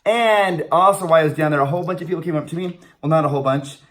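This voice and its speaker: A man's voice: a little high, rapid-fire, a bit bright. A man in his forties with an American accent, co-hosting in casual, enthusiastic, jokey banter to camera. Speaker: video channel co-host, convention MC. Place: small indoor room before a banner.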